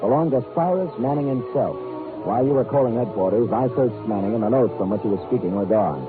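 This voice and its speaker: An actor playing a wry, deadpan hard-boiled private detective in a 1950s radio noir drama. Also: male voice, lowish voice, lightly acted. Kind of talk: 1950s radio noir acting, scripted narration. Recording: tinny old radio recording, music behind.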